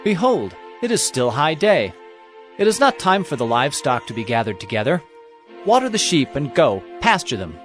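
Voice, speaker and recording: A man's voice: fairly high pitch, big pitch swings, normal speed, faint music behind. An actor playing an earnest young male traveler, speaking emphatically in dramatized scripture. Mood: eager.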